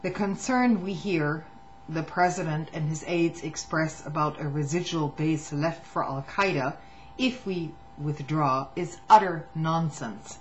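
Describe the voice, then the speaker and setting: Low, slow woman, dull noisy recording. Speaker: reader of a testimony. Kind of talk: reading a written political statement aloud.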